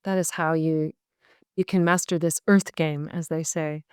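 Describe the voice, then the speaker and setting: A woman with a Canadian accent speaks in long, searching, earnest phrases. Her voice is smooth and clear, sitting a little low, and the sound is dry and close, as on a video call. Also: steady tone, unhurried, darkish tone, dry recording. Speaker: guest, medical intuitive. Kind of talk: casual interview talk.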